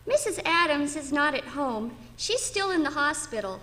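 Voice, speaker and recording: A woman's voice: high-pitched, very animated, moderate pace, sharp tone, exaggerated voice. An actress playing a polite, reserved, solemn woman answering a household telephone in an old-time radio drama. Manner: polite, reserved, matter-of-fact.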